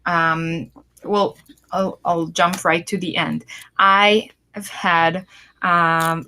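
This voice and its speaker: A woman's voice: lowish voice, fairly bright tone. A woman with a Hebrew accent, co-hosting a talk show and explaining her ideas in a thoughtful way, with many fillers and restarts. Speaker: podcast co-host. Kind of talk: casual chat.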